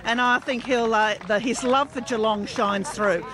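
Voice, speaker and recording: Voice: medium pitch, fairly even intonation, normal speed, noisy background. A community leader answering questions in a news interview. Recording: crowd chatter, outdoor urban location.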